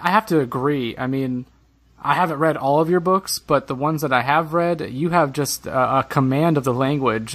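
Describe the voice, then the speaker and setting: Higher-voiced male podcast host. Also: fairly bright tone. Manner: appreciative, asks questions. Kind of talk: interviewer asking questions.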